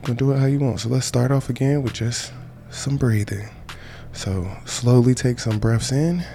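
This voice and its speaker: A man's voice: medium pitch, normal speed, gravelly, dark tone, a little hiss or hum. A man guiding a meditation with informal prayer in a casual, colloquial, encouraging way. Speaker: meditation guide. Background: music behind the voice.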